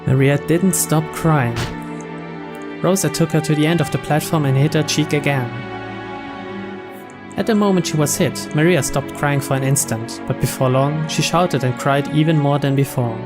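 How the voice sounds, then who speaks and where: A man's voice: a little high, normal speed, music in the background. A man reading scripted story narration aloud from a visual novel as a let's player.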